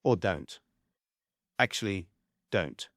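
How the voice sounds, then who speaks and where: A man's voice: low-pitched, animated, slow pace, fairly bright tone, no echo, clean studio sound, exaggerated voice. A man voicing a flamboyant, cocky trickster with a showboating, teasing manner, in a stage script table read. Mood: teasing, smug. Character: flamboyant, cocky male trickster with a god complex.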